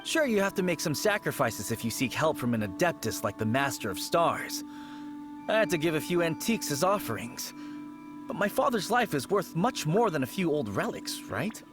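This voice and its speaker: Earnest, animated, credulous townsman. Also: fairly high pitch, moderate pace, over music. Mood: earnest, trusting. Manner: earnest, awed. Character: earnest, credulous townsman devoted to a miracle worker. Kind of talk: video game character acting.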